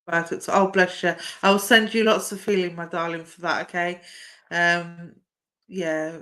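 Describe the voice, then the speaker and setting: A middle-aged woman, chatting warmly through a live-stream card reading and calling viewers "my darling". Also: on the low side. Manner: chatty and affectionate, says "my darling" often. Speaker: psychic medium giving live readings.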